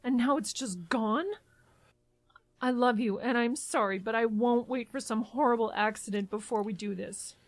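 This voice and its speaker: An actress playing a worried, exasperated adult daughter and caregiver in an audio drama, speaking emphatically. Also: female voice, fairly high pitch, fairly even intonation, natural voice. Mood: exasperated, upset. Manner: brisk, emphatic, fraught.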